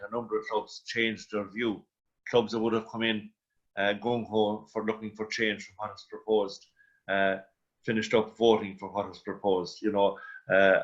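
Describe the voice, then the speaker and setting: A man giving measured sports analysis on the radio, often saying "you know". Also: fairly low pitch, a bit bright. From Ireland. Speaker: sports journalist, panel guest. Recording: dull lo-fi radio audio.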